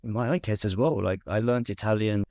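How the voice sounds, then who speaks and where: Guy hosting a podcast, lowish voice, slow and thoughtful, lots of ums. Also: mellow, no echo. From Korea. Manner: thoughtful, many fillers and drawn-out pauses. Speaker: podcast host. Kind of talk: casual conversation. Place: Australia.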